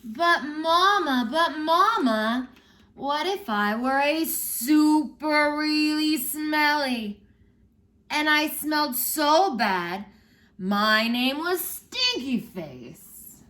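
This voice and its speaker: Woman doing a loud pleading little kid. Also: high voice, lively intonation, voice pushed up, heavily acted. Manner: whiny, drawn-out, emphatic pleading. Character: small pleading child asking mama questions. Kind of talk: storybook read-aloud voice.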